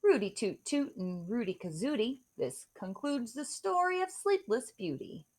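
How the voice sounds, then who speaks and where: Female storybook reader: slow, highly expressive, theatrical rhymes, lively rise and fall. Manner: theatrical, emphatic delivery of rhymes. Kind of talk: expressive read-aloud of a rhyming children's story.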